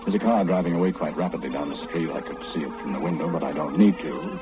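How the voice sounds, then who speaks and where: Sly, deep-voiced all-knowing mind reader. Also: male voice, quick pace. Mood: ominous. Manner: sly, insinuating, theatrical, speaking confidingly to the listener. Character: eerie all-knowing male mind reader, teasing and unflappable. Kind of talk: old-time radio horror acting. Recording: muffled, noisy old radio broadcast.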